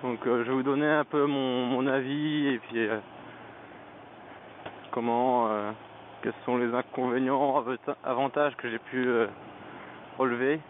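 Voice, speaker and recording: A man's voice: medium pitch, steady tone, a little slow, long pauses. A man giving a casual, unscripted product review as a vlogger, hesitant and often searching for words, speaking into a helmet headset microphone. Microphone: Bluetooth motorcycle helmet headset microphone. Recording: tinny narrow-band helmet intercom mic, background noise.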